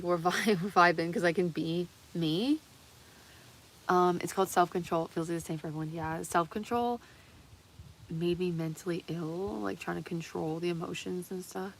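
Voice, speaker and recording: A woman in her thirties rambling excitedly in a casual, confessional livestream style, giggly and sweary, her voice a little strained from long talking. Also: fairly low pitch, a little hiss or hum, low-quality recording. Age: 30s.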